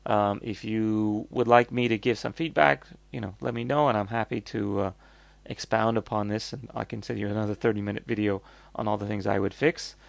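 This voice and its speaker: A man's voice: fairly low pitch, steady tone, a little fast, vocal fry, no room sound. A North American man hosting a casual, off-the-cuff product review, rambling and blunt as he thinks aloud.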